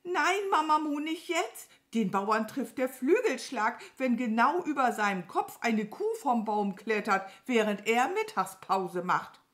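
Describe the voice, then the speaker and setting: A bossy, excitable storybook crow, acted out by a woman. The voice sits high and swings widely, with an agitated, scolding manner that frets over everything. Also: measured pace, few pauses. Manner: agitated, scolding, stammers when alarmed. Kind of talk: children's storybook character voice.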